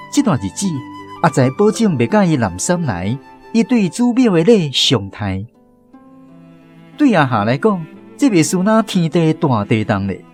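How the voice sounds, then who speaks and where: Taiwanese guy narrating a radio play, very animated storyteller, soft music behind him. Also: on the high side.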